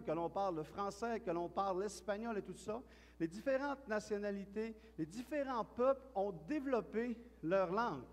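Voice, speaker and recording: A man with a Quebec French accent preaches in a church hall, his voice high, and long reverb trails each phrase. He talks in a relaxed, storytelling way, stumbling and repeating words as he goes. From Quebec, Canada. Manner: chatty, storytelling, with frequent restarts and repeated words. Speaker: pastor. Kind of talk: sermon.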